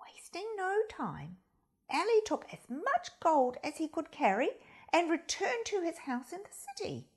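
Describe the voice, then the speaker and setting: Lady doing storytime for kids, high voice, super swoopy and dramatic, takes her time. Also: wildly swooping pitch. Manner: dramatic, deliberate delivery with hushed emphasis. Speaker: storyteller reading a picture book to children. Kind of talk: expressive children's story reading.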